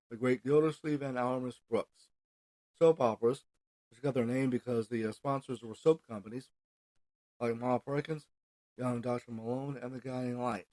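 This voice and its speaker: An American man hosting an informal solo podcast, reading from notes in a halting way and stumbling over names. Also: mid-range voice, warm tone, no echo, low-quality recording. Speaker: podcast host.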